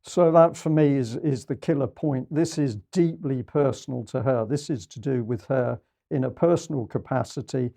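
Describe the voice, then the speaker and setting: A man's voice: mid-range voice, moderate pace, vocal fry, dark tone. A man presenting an online news show, giving pointed, critical commentary on news clips.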